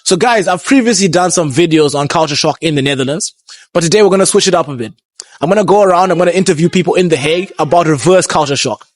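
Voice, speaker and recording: A man's voice: fairly high pitch, fast talker, no room sound, clean studio sound. A young man from Zimbabwe hosting a street interview vlog, upbeat and chatty. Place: outdoors on a street.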